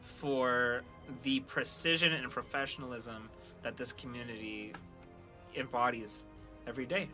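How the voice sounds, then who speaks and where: A man's voice: medium pitch, steady tone, a little slow. A man hosting a podcast in a casual, earnest and enthusiastic way, talking directly to listeners. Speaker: podcast host and audio drama creator. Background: background music.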